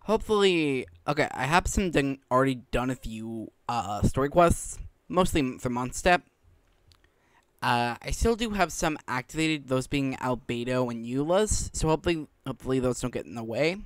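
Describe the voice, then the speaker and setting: A man giving casual, rambling commentary on a game playthrough, halting and often rephrasing himself. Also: medium pitch, expressive, clean tone, no room sound. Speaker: gaming video creator. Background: game music.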